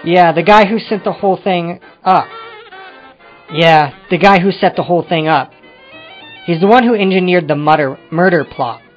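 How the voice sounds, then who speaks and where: An evasive, touchy ex-convict, played by a man talks in the manner of old-time crime radio. He is hesitant and defensive, pitched fairly high for a man, with music behind a slightly dull recording. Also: normal speed, lightly acted. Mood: nervous confessing. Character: evasive, touchy male ex-convict confessing to a detective. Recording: muffled amateur radio-drama recording with music.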